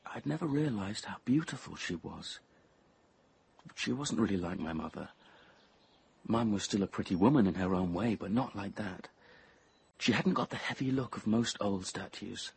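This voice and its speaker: Low-voiced, brooding young male narrator. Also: creaky, a little mellow, husky, full character voice. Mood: entranced. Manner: measured, intense, dropping to near-whispers. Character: brooding, obsessive young man narrating his confession. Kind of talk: radio drama first-person narration.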